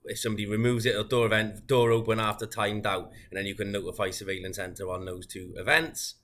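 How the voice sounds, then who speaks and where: A man giving an informal, methodical, unscripted software walkthrough as a product demonstrator. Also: lowish voice, level tone, fast.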